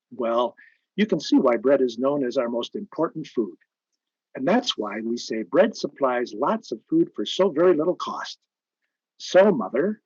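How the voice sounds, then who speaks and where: A man's voice: mid-range voice, a little mellow, slightly rough, no room sound. A man announcing and advertising in old-time radio style, with an emphatic, persuasive, polished delivery. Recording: dull, band-limited old-time radio sound.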